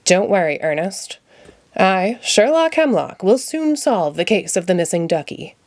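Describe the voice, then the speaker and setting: A woman voicing a pompous, excitable male puppet detective from a children's storybook, with grandiose announcements. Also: mid-range voice, measured pace, no room sound. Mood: self-assured.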